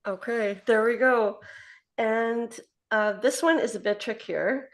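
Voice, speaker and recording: A woman in her forties interviewing a guest over a video call, friendly and laughing often as she asks rapid-fire questions. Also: on the high side. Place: home office with piano. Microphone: laptop webcam on video call.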